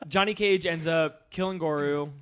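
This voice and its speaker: A man with a bright, somewhat high voice co-hosts a film podcast. He talks through movies casually, as if among friends. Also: moderate pace, clean tone. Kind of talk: casual discussion of films.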